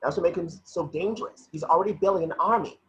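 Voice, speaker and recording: A man's voice: high-pitched, fast, fairly bright tone. A man playing a dogged, defiant woman police detective in an amateur online table read. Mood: insistent. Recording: muffled video-call audio with noise.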